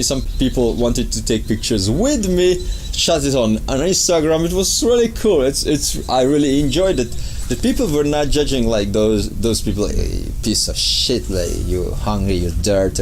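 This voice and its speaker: A man's voice: mid-range voice, darkish tone. A man from Hungary tells a story casually, speaking emphatically and repeating his phrases as he goes. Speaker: vlogger and English teacher. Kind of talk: casual spoken vlog storytelling. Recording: clear background ambient noise.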